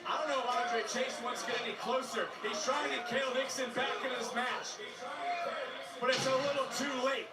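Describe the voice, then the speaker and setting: A man with a very high voice delivers wrestling commentary and promos in an emphatic, showy way. It is TV audio caught from across a roomy space, with loud music behind. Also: fairly even intonation, fairly quick, far from the mic, a loud crowd. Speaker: wrestling broadcast voice. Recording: TV audio heard from afar, roomy.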